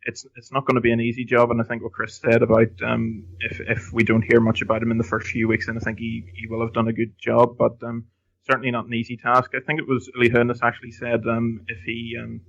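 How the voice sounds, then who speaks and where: A man's voice: medium pitch, completely flat delivery, fast, vocal fry, a bit bright. A man giving casual, analytical opinions as a guest on a football podcast. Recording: slightly dull, lo-fi audio.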